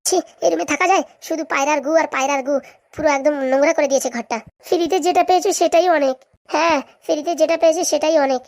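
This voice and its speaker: A woman's voice: high-pitched, fairly even intonation, fast talker, a little mellow. A woman voicing a greedy, scheming village woman in a cartoon comedy, chatty and bickering in colloquial speech.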